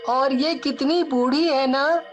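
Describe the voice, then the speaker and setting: A high, young boy's voice acted by a woman from a cartoon story. He is an earnest big brother who speaks slowly and pleadingly, drawing his words out over background music. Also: fairly even intonation. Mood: amazed, emotional. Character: earnest young boy, protective older brother. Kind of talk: Hindi cartoon story dubbing. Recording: music behind the voice.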